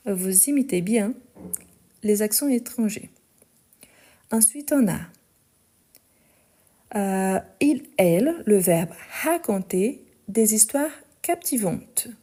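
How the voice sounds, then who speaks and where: A woman teaching a live online French lesson, speaking slowly and carefully, repeating each sentence and spelling out the verb endings. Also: medium pitch, lively intonation, mellow.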